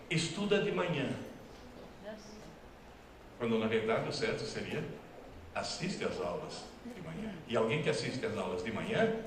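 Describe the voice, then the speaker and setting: A man's voice: on the high side, a bit bright. Man lecturing in an echoey hall. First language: Italian. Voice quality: wry, teasing, emphatic. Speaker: lecturing professor. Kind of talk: humorous public lecture. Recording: distant, very echoey hall recording.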